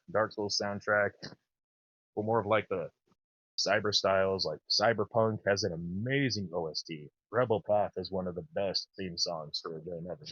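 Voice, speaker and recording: A man in his thirties with a naturally low voice, chatting casually and enthusiastically as a podcast host. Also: fairly bright tone, no echo, lo-fi sound. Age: 30s. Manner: rambling, enthusiastic. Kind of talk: casual chat.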